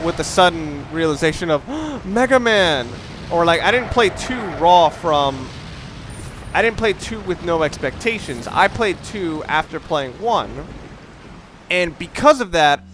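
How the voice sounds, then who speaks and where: A man's voice: on the high side, expressive, a little slow, background noise. A young man giving casual, rambling gameplay commentary, swearing often and poking fun at himself. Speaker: let's play commentator.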